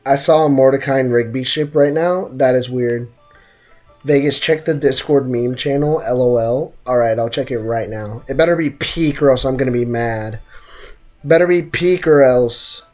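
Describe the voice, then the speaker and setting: Streamer, young man, American accent, off-the-cuff slangy gaming chatter, soft music underneath. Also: medium pitch, moderate pace. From United States. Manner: slangy, reads chat aloud and answers it. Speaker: game streamer. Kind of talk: casual live gaming chat. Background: game music.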